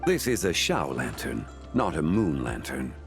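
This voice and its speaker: An actor playing an ancient, composed male earth god in a video game, speaking in a formal, scholarly, measured way. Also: a bass voice, big pitch swings, over music, full character voice. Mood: calm, instructive. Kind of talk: scripted video game dialogue.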